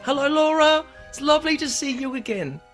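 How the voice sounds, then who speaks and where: A woman hosting a podcast in casual chat, her voice croaky and hoarse from a virus, explaining things at length in an earnest way. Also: sits high, lively intonation, brisk, soft background music. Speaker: podcast host.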